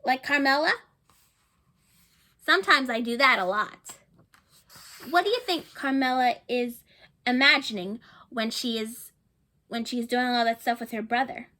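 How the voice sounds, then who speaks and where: A woman with a high, light voice reads a children's storybook aloud. She pauses to think aloud and to put questions to her young listeners. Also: sharp tone. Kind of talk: picture book read-aloud with asides to listeners.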